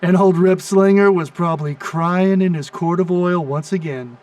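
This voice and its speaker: A man plays an eager young airplane sidekick in an animated game. He talks in a folksy, wisecracking way, with a fairly high voice for a man. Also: moderate pace, far from the mic, close to an everyday voice. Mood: jokey, admiring.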